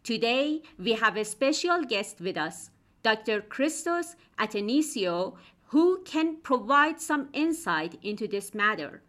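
Woman, podcast host, bright, crisp tone, measured scripted delivery. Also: on the high side, very animated. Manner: clear, measured reading of prepared text. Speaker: podcast host, assistant professor. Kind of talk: scripted podcast intro and interview questions.

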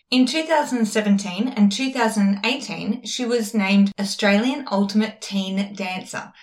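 A woman hosting a dance podcast, reading the introduction and interviewing a guest in a polished, upbeat way. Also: medium pitch. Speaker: podcast host.

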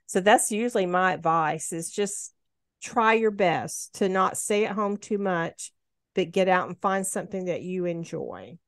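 Smooth-voiced woman in her sixties. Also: fairly low pitch, unhurried, no room sound, clean studio sound. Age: 60s. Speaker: guest sharing her story. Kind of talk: casual heartfelt conversation.

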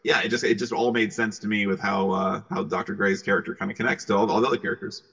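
Bright, cheerful man in muffled reverb. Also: on the low side, fast. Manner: cheerful, excitable. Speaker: voice actor being interviewed. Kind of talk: casual interview answers. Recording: muffled, long hall-like reverb.

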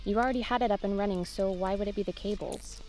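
Teasing, mid-pitched girlfriend, played by a woman. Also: fairly even intonation, fast talker, mellow, soft background music, lightly acted. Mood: mocking. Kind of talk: naturalistic audio drama acting.

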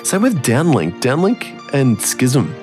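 A man with a croaky, strained voice, co-hosting a podcast in casual, sweary, enthusiastic music review chat. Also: mid-range voice, wildly swooping pitch, slow. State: voice strained from singing. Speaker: podcast co-host.

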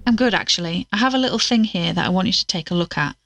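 A woman's voice: on the low side, fast, lo-fi sound, background noise, lightly acted. A woman playing an amateur investigator and podcast co-host, chatty and explanatory, in an audio drama. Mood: casual, friendly.

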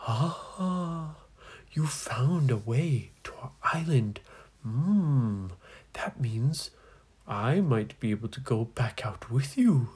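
A woman's voice: extremely low pitch, animated, takes it slowly, darkish tone, slightly rough, heavily acted. A woman voicing a lonely, mournful old ghost in a children's story, with sighs and exclamations. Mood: musing. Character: mournful, lonely old island ghost, long without hands.

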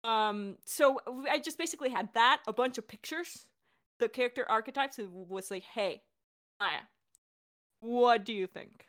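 A woman's voice: fairly high pitch, moderate pace, fairly bright tone. A young adult woman chatting casually as a podcast director and co-host in a creator Q&A.

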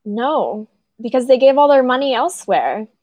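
Giggly Oklahoma woman, higher voice. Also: big pitch swings, normal speed, darkish tone, dry recording. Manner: giggly, hesitant, many fillers. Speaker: podcast co-host.

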